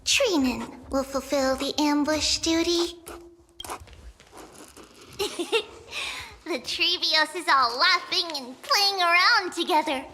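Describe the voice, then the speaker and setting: A woman's voice: high-pitched, slow, long pauses, sharp tone, a little hiss or hum, heavily acted. A woman voicing a mischievous, playful little girl in a video game, singsong and speaking of herself in the third person. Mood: cheerful. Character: playful mischievous little girl, one of many identical selves. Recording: music behind the voice.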